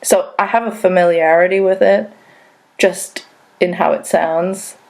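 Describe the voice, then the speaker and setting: A woman's voice: lowish voice, steady tone, measured pace, vocal fry, poor audio. A woman who grew up in Saudi Arabia, hosting a podcast interview in a chatty, casual way full of "like" fillers. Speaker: podcast host.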